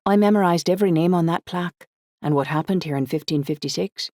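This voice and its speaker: A woman's voice: on the low side, quick pace, darkish tone, no room sound, clean studio sound, voice pushed up, natural voice. A woman voicing an eager young woman in an audiobook. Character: small young Irish woman, eager history enthusiast, mesmerizing lilt.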